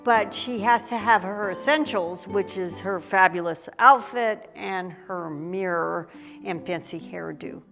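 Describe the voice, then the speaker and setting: A woman artist explains her work on a tour of a gallery, taking her time. Her speech is slow with many ums and pauses, and her voice has a gravelly fry. She sounds relaxed and explanatory. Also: mid-range voice, music in the background. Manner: unhurried, with frequent ums and pauses. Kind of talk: casual explanatory walk-through. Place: art gallery, indoors.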